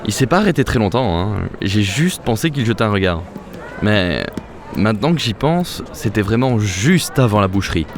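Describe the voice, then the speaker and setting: An actor playing an observant, impulsive adventurer with a casual, streetwise way of talking in an audio drama. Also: male voice, lowish voice, big pitch swings, normal speed. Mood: pensive. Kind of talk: French audio drama acting. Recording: clear background noise.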